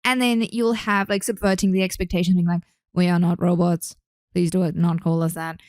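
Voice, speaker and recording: A woman's voice: on the low side, flat delivery, fairly quick, a little mellow, no room sound, studio quality. A young Australian woman hosting a casual solo podcast as a speech therapist, chatty and rambling with many fillers. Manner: chatty, rambling, with frequent fillers and self-corrections.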